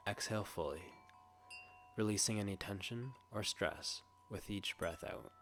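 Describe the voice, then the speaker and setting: A man leading a scripted guided meditation, speaking gently and soothingly in a hushed way with long pauses. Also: low voice, a little hiss or hum.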